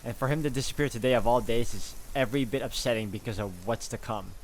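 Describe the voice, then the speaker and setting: A man reading a visual novel's narration aloud in a dramatic way, with sarcastic asides. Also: medium pitch. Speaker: video game player reading narration aloud.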